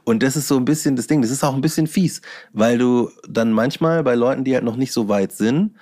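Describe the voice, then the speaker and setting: Male interview guest, medium pitch, loose slangy chat, searching delivery with animated bursts. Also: brisk. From Bavaria, Germany. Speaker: guest, hip-hop DJ and workshop teacher.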